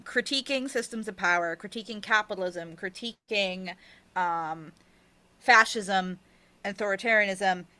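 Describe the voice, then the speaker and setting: A woman's voice: medium pitch, bright, forceful tone, dry recording. A woman being interviewed as an author, chatting casually in an effusive, slangy, joking way. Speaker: interviewed author of an audio drama.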